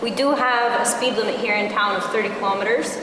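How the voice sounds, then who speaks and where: A woman's voice: medium pitch, steady tone, brisk, natural voice. A woman playing a concerned community member who gives her opinion at a meeting in a firm, plain-spoken way. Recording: very echoey hall, clear background noise.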